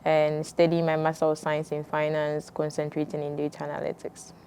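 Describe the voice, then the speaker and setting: Low, smooth, gravelly woman from Ghana. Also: completely flat delivery, fairly quick, dark tone, a little hiss or hum. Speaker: graduate student. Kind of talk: interview introduction.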